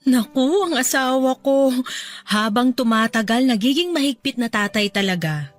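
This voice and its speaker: A woman playing a gentle, caring middle-aged wife and mother in a radio drama, speaking affectionately and soothingly. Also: on the high side, expressive, normal speed, close to an everyday voice. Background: soft music bed.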